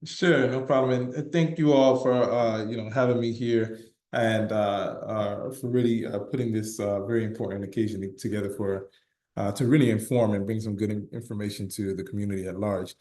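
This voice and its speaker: Panelist, male, low voice, hesitant, roomy call audio. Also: steady tone, normal speed, mellow. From United States. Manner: hesitant, many fillers. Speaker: panelist, business chamber leader. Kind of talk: panel discussion.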